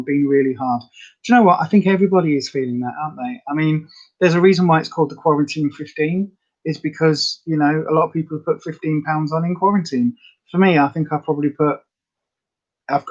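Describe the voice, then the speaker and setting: A woman with a slightly raspy voice, chatting casually and rambling to viewers as the host of a live health update. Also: sits low, darkish tone, muffled recording. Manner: chatty, rambling, many fillers.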